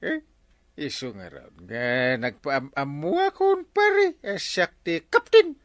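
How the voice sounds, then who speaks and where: A self-important village captain from an Ilocano comedy radio drama. His voice sits fairly high and swings extremely widely as he blusters and insists on his rank. The accent is Ilocano. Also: sing-song, takes it slowly, a bit bright, no room sound, clean studio sound, full character voice. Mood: stubborn, boastful. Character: boastful, hot-headed village captain, blustering man.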